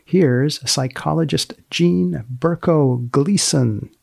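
A man hosting a podcast, reading scripted lines and questions in a deliberate, dry manner with dramatic pauses. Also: fairly high pitch, creaky, very noisy. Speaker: podcast host, magazine editor.

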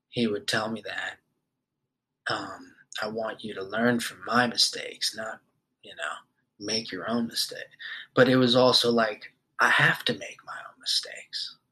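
A man's voice: lowish voice, fairly even intonation, a little slow, sharp tone, rough recording. A man in his twenties hosting a podcast, talking loosely and rambling in a casual monologue, swearing often and leaning on fillers. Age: 20s. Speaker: podcast host.

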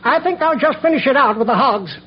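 A stubborn old American hog farmer in a vintage radio comedy, high in pitch with a folksy country drawl that swings up and down. The recording is muffled and very noisy. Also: male voice, animated, fast. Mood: stubborn, deadpan. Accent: rural American. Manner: laconic, folksy rustic drawl. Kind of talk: 1940s radio comedy acting.

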